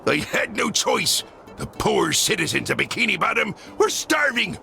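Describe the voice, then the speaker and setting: An actor playing a blustery, money-grubbing older crab boss in a cartoon video game, gravelly, with indignant salty sailor speech. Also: male voice, high-pitched, big pitch swings, moderate pace, bright, forceful tone, soft background music, full character voice.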